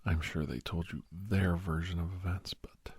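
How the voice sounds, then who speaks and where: A man playing a kindly doctor in an ASMR roleplay, speaking in a hushed, breathy whisper with pauses. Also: deep voice, steady tone, no room sound, studio quality. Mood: reassuring, confiding. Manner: hushed, unhurried, with pauses and soft chuckles. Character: kindly doctor advising a young werewolf, old family friend.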